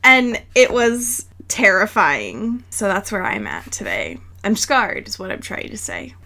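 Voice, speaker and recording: A woman's voice: medium pitch, measured pace, vocal fry. A woman co-hosting a podcast, telling stories in casual, comedic chat with lots of swearing and acted-out dialogue. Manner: animated, sweary, acts out dialogue. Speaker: podcast co-host.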